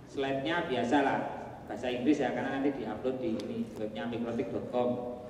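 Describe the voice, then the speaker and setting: A man with a Javanese accent giving a relaxed conference talk, with joking asides and plenty of fillers. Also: mid-range voice, fairly quick, a little hiss or hum. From Java. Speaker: conference presenter. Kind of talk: casual technical presentation. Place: large hall. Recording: echoey hall, voice from far off.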